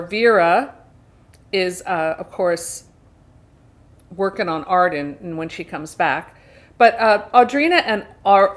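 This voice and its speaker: A woman's voice: mid-range voice, a bit bright. A woman hosts a casual book discussion in a mid-range voice. She retells the story in a chatty, wryly amused way, putting emphasis on the words that matter. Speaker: book reviewer and video host.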